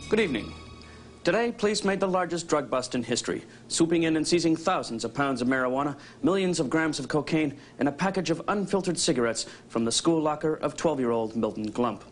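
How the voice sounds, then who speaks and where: An actor playing a whiny, sensationalist TV news anchor with a melodramatic manner in a mock broadcast. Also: male voice, a little high, animated, fairly quick, faint music behind. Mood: pompous. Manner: melodramatic, stammering when challenged.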